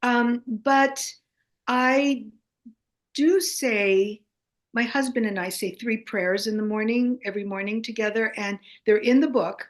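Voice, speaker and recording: An older American woman, a native Greek speaker, talking as a guest in a casual interview, halting with many fillers and restarts. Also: on the high side. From United States.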